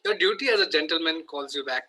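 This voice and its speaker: Casting: stern, exasperated Victorian guardian played by a man, slightly high and very bright voice, amateur comedy read. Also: fast, breathy edge, exaggerated voice. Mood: indignant, scolding. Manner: exasperated, clipped scolding. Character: irritable, stern, indignant Victorian English gentleman guardian.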